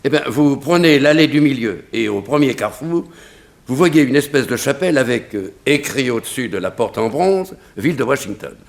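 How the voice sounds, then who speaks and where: Cemetery keeper, gravelly, mid-pitched, colloquial, hesitant, grudgingly helpful, old radio mystery style. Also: male voice, expressive, moderate pace. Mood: obliging, hesitant. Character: grumbling but obliging, slow-witted male cemetery night watchman. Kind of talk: 1960s French radio mystery serial acting.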